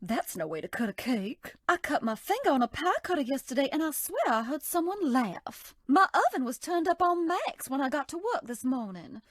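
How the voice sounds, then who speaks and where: Flirty southern belle baker in a game, drawly and coy, bouncy highish voice, dry close mic. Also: female voice, sing-song, normal speed, hardly any pauses, no echo. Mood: uneasy. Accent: American Southern. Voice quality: sultry drawl, coy and teasing. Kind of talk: video game character voice lines.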